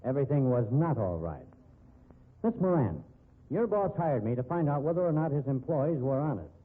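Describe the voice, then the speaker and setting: Hard-boiled male investigator, cynical, rough-edged 1950s radio delivery with emphatic swings in pitch. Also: big pitch swings, moderate pace. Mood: accusing, bullying. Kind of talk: 1950s radio drama acting. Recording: muffled, dull 1950s radio recording.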